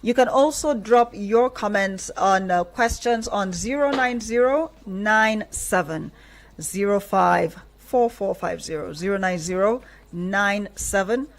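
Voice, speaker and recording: A woman's voice: medium pitch, a little slow. A woman with a Nigerian accent hosting a casual radio interview, often chiming in with short affirmations. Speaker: radio programme host.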